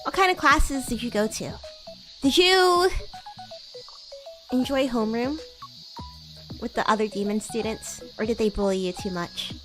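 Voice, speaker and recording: A woman's voice: fairly high pitch, measured pace, long pauses, a bit bright, soft background music, poor audio. A woman voicing a bratty, haughty young demon schoolgirl in an improvised livestream roleplay, teasing and condescending. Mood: teasing, tsundere. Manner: teasing, condescending, flustered tsundere denials.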